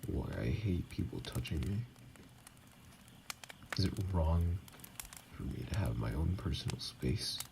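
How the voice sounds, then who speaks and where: A man playing a wounded, bitter, self-loathing vampire in an ASMR audio drama, brooding and halting, with a gravelly, breathy voice that often drops near a whisper. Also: low voice, lively intonation, noisy background, exaggerated voice. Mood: bitter, hurt.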